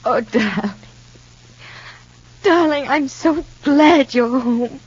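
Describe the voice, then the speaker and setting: A woman's voice: sits high, slow, breathy edge, exaggerated voice. A woman playing an anxious, doting mother in a 1950s radio soap opera, with a gushing, emotional delivery. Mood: overwrought, relieved. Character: anxious, doting mother overjoyed at son's return. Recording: muffled old radio recording.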